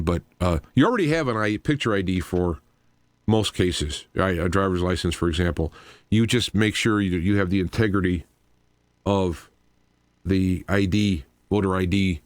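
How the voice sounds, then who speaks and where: Low-voiced man hosting talk radio. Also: dry recording. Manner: rambling, explanatory asides. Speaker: radio show host. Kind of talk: informal live radio monologue.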